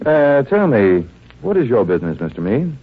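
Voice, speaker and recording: An actor playing a courteous, practical doctor-detective in an easygoing vintage radio mystery style. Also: male voice, mid-range voice, sing-song, moderate pace, husky. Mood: polite. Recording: dull, noisy old radio recording.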